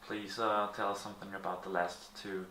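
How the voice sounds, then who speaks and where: Man, interviewer, lowish voice, hesitant polite questions, faint background noise. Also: little pitch movement, a little fast, sharp tone, reverberant.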